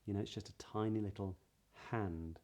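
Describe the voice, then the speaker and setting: A man with a southern British English accent giving a pronunciation lesson as a voice coach, explaining clearly and modelling sounds. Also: lowish voice, fairly even intonation, moderate pace, a little mellow. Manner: clear, demonstrative, breathy example sounds.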